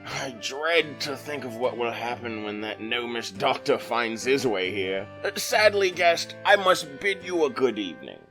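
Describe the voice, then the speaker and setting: A man's voice: mid-range voice, expressive, measured pace, hardly any pauses, music in the background. A man playing a genial, theatrical keeper of tales who courteously addresses his guest in an audio drama. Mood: genial, grandiose. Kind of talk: audio drama host narration.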